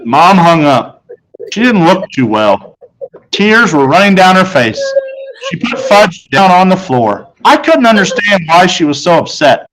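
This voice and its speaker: A man's voice: sits high, sing-song, measured pace, a bit bright, poor audio. A man narrating a children's novel aloud in the first person, as a storybook narrator.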